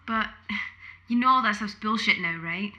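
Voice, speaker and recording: An actress playing a bold, teasing young poet in an audio play, with a wry, direct manner. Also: female voice, medium pitch, measured pace, sharp tone. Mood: challenging.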